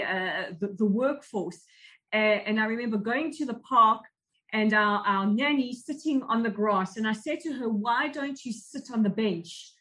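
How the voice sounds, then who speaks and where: A woman with a South African accent, telling her life story as an interview guest in a measured, reflective way. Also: a little high, a bit bright, poor audio. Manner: measured, reflective, with frequent restarts. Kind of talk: personal recollection in an interview.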